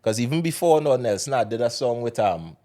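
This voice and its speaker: A man's voice: mid-range voice, a little fast. A man from Trinidad with a Trinidadian accent, a soca artist talking casually and loosely in an interview. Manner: relaxed, rambling, Trinidadian Creole grammar.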